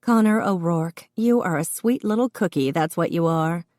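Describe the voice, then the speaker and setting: A woman's voice: fairly low pitch, moderate pace, a little mellow, dry recording, studio quality, lightly acted. A woman doing a bubbly, teasing pregnant sister.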